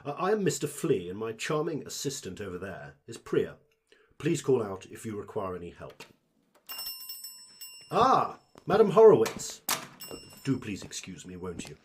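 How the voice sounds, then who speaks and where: Man playing a fawning, husky fungal shopkeeper. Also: fairly low pitch, very animated, normal speed, long pauses, heavily acted. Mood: eagerly obliging. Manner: fawning, formal, over-polite servile patter. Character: obsequious fungal parasite shopkeeper speaking through a host body. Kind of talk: audio drama acting.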